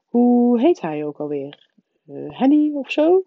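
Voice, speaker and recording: A man's voice: high-pitched, expressive, moderate pace, husky, no room sound, narrow, tinny audio. A woman voicing a nervous middle-aged husband who mumbles hesitantly, as in a storybook reading. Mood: forced nonchalance.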